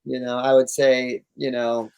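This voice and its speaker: A man's voice: medium pitch, level tone, a little slow, gravelly, a little mellow, smooth. A man talking casually and enthusiastically as an interview guest, in laid-back, slangy language. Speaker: interview guest, cannabis grower.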